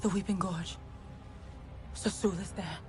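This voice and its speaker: An actress playing a stern, proud alien clan warrior in a video game, speaking in terse, grave statements. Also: female voice, on the low side, fairly even intonation, a little slow, mellow, faint music behind, close to an everyday voice. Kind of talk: video game cutscene acting.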